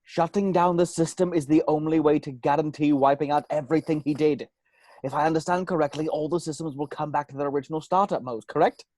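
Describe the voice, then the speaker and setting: A man's voice: fairly high pitch, fairly even intonation, fast talker, a little mellow, no room sound, close to an everyday voice. A man playing a genteel, grandfatherly park owner with courtly, formal phrasing in an amateur screenplay table read. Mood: persuasive.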